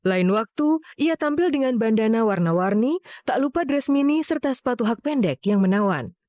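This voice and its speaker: Woman narrating a celeb profile, kinda high voice, super dry studio sound, measured and formal. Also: sing-song, darkish tone, dry recording. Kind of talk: scripted voice-over narration.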